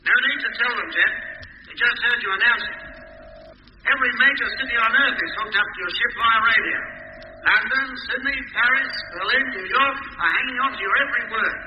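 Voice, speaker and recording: Echoey, high-voiced mission control announcer. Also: male voice, fairly quick. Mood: formal, eager. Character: formal male mission-control announcer addressing the world. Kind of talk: 1950s radio drama acting. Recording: echoey, dull, noisy old radio transmission.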